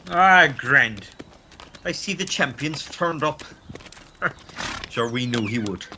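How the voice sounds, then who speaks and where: A man's voice: mid-range voice, animated, takes it slowly, bright, forceful tone, exaggerated voice. A man acting an easygoing, dismissive Irish village policeman in an amateur radio play, breezy and placating. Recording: faint background hiss.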